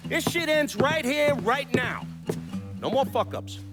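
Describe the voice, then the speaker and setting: An actor playing a blunt, beleaguered, foul-mouthed middle-aged mob boss in a video game cutscene. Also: male voice, very high voice, expressive, a little slow, over loud music. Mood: furious, exasperated. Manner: blunt, profane, bossy.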